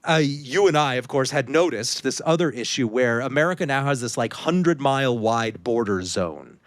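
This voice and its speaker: A man hosting a podcast interview and working as an editor, talking in a casual, animated way and laughing often. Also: mid-range voice, normal speed, no room sound. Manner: animated, laughs often, mimics others in quotes.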